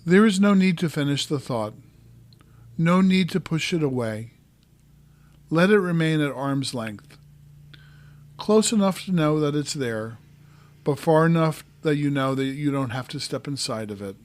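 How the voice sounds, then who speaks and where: Slow-paced man guiding a meditation. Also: fairly high pitch. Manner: calm, gentle, measured instruction with short phrases. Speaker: meditation guide, mental performance coach. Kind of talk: guided meditation narration.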